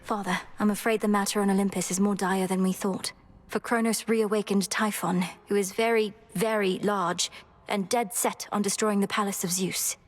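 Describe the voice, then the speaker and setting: An actress playing a determined, earnest young princess of the underworld in a video game. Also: female voice, medium pitch, steady tone, moderate pace, lightly acted. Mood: earnest, urgent.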